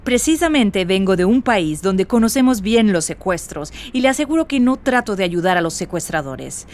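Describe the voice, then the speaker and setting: An actress playing a determined, principled foreign investigative reporter in an audio drama, with an assertive, earnest delivery. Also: female voice, mid-range voice, animated, moderate pace, a little mellow, natural voice. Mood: firm. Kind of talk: Spanish audio drama acting.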